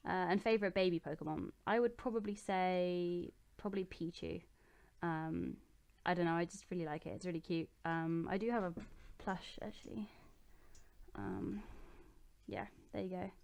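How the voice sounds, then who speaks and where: A young woman chats easily from her bedroom as she answers viewer questions one after another. Her voice sits a little low for a woman and sounds smooth and clear, and she fills her pauses with ums and small restarts. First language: English. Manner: chatty and relaxed, with many ums and self-corrections. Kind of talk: casual chat.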